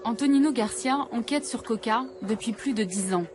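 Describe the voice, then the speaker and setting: A woman's voice: a little high, normal speed, noisy background. A woman from France, a journalist, reading scripted first-person narration for a documentary.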